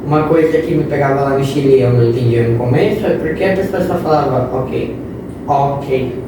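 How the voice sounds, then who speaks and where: A man's voice: mid-range voice, fairly quick. Man in his twenties, guest, explanatory tone, noisy background. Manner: explains with examples and quoted phrases. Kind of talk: casual interview talk. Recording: echoey room, distant mic, noisy.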